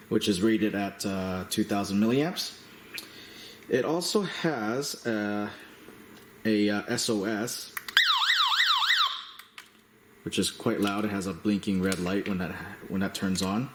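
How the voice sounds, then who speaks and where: A man giving a casual hands-on product demonstration as a reviewer, speaking in a halting, matter-of-fact way. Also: lowish voice. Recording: roomy echo, slight background noise.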